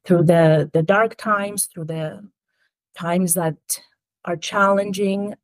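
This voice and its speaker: A woman from Greece, a native Greek speaker, talking earnestly and gently as a podcast guest about her healing work. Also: on the low side, steady tone, slow pace, no room sound. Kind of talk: interview conversation.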